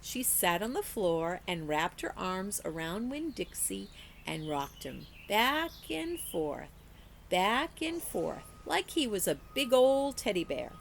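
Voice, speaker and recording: A woman reading a children's story aloud in an expressive storytelling style, like a teacher with a class book. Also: medium pitch, slow, gravelly, slight background noise.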